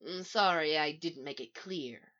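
A woman's voice: mid-range voice, expressive, moderate pace, bright, forceful tone, dull audio. A woman reading as a mild, tired male supervillain in a story, matter-of-fact and subdued. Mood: small, apologetic.

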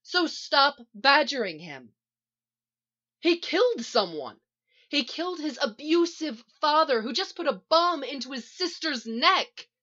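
A woman acting a protective, indignant young woman in a story, forceful and scolding. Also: high voice, lively intonation, measured pace, hardly any pauses, dull audio, pitched above the performer's own voice, exaggerated voice.

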